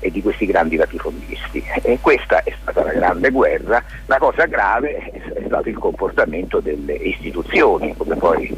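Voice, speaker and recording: A mature man, an interview guest, explains things informally and discursively, often hesitating, in a husky, rough voice. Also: deep voice, expressive, moderate pace, hardly any pauses, low-quality recording. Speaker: lawyer and theatre maker, interview guest.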